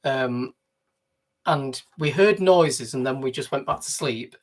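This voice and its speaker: A man's voice: medium pitch, slow. A man with a Mancunian accent chatting easygoingly on a livestream from a narrowboat. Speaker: vlogger. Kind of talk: casual livestream chat. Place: inside a narrowboat.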